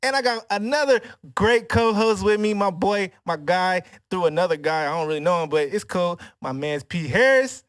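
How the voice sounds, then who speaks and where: A man's voice: high-pitched, moderate pace, few pauses, a bit bright, clear, resonant tone, no echo. A man hosting a podcast interview, joking and slangy, laughing often. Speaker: podcast host. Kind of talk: casual interview banter.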